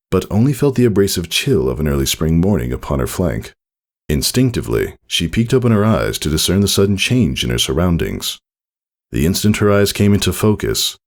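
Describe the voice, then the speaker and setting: Male narrator for fiction: low register, lively intonation, expressive scripted read.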